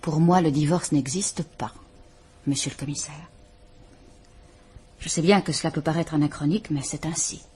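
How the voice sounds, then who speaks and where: An actress playing a frightened, formal estranged wife who is visiting a police inspector, speaking in a restrained, measured way. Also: female voice, low-pitched, dark tone, slight background noise, natural voice. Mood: grave, firm. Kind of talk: 1950s French radio drama acting.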